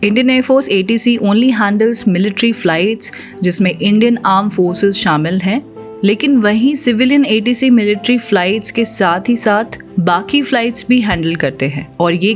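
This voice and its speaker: A woman's voice: medium pitch, normal speed. A woman with an Indian accent presenting scripted, formal explanatory narration with many English terms mixed in. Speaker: presenter of an exam-preparation channel. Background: background music.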